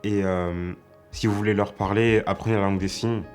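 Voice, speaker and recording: A man with a very deep voice speaks earnestly and encouragingly, pausing now and then with small hesitations. Soft music plays faintly under his words. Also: fairly even intonation. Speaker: interviewee. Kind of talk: documentary interview voice-over.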